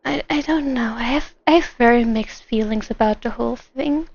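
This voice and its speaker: A woman giving casual, rambling live commentary while streaming a game, in an animated manner. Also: fairly high pitch, moderate pace, creaky, no echo, lo-fi sound. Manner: animated, rambling, acts out imagined lines. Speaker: streamer, gamer.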